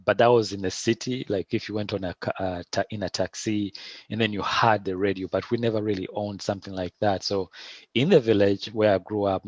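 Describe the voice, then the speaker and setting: A middle-aged man with a Ugandan accent, answering interview questions in a thoughtful, halting way with many restarts. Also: on the low side, dry recording, highs cut off. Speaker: filmmaker guest.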